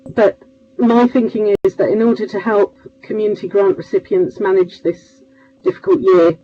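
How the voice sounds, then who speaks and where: A woman councillor putting a formal question at a meeting. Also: medium pitch. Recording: dull, lo-fi meeting audio.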